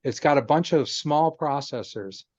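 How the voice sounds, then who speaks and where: A man's voice: fairly high pitch, lively intonation, a little slow, a little mellow, dry recording, muffled recording. A man in a casual video call chat, explaining technical ideas patiently with lots of "um" and restarts. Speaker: guest explaining chip technology. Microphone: video call microphone.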